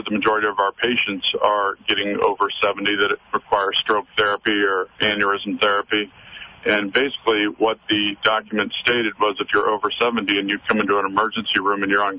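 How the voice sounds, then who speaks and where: A man calls a radio show while driving, speaking earnestly and stopping often to hunt for words. His voice sits low and flat, with a bright, forceful edge. The phone line sounds muffled, with a faint hiss of road noise underneath. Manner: earnest, hesitant, many fillers. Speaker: caller. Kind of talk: call-in radio conversation. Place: in a car, driving.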